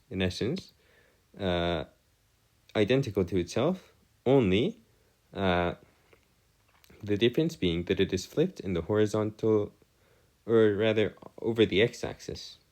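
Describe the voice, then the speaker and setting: A man tutoring in an informal maths lesson, with formal wording and a halting, self-correcting delivery. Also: fairly low pitch, animated, darkish tone. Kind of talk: informal maths lesson over a digital whiteboard.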